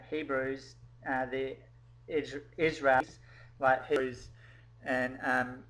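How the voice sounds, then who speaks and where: A man's voice: medium pitch, fairly bright tone, low-quality recording. A man in a casual discussion who asks a question hesitantly, with many ums.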